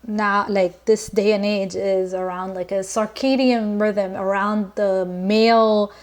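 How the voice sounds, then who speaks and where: An earnest woman from Lebanon talks through her ideas in relaxed conversation. She circles back often, with plenty of fillers and restarts, and her pitch sits in the middle. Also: slow. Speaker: guest. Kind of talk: casual conversation.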